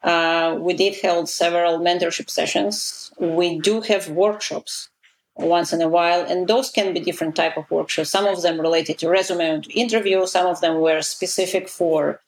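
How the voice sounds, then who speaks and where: A woman's voice: on the low side, steady tone, moderate pace, rough recording. A woman, a guest in an interview, talking casually with many fillers and restarts. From Israel. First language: Russian.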